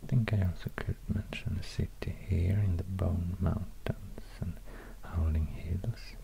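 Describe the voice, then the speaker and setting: A man with a Swedish accent speaking in soft ASMR whispers, halting and murmuring as he goes. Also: sits low, fairly even intonation, vocal fry. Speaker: ASMR video host. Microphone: close binaural microphone.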